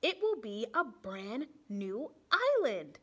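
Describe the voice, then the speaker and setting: A woman voicing a bright, excited schoolgirl in a storybook, speaking eagerly and emphatically. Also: fairly high pitch, slow pace, husky, heavily acted. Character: bright, excited schoolgirl working out science puzzles.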